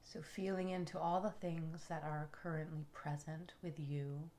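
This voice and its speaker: A woman leading a guided relaxation meditation in a soothing, unhurried way, with soft, breathy phrase endings. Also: low voice, level tone. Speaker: yoga nidra meditation guide.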